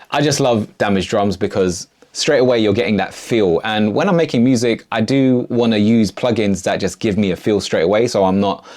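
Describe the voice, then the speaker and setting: Male tutorial host, lower register, casual and slangy, keen music producer talking viewers through his process. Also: a little mellow. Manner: enthusiastic, slangy. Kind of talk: casual video tutorial narration.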